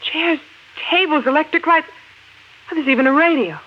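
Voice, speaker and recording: A woman's voice: high voice, moderate pace, natural voice. An actress playing a frightened young woman in a 1950s radio drama, emotional and breathless. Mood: bewildered. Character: frightened, anxious young woman trapped underground. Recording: muffled, noisy 1950s radio recording.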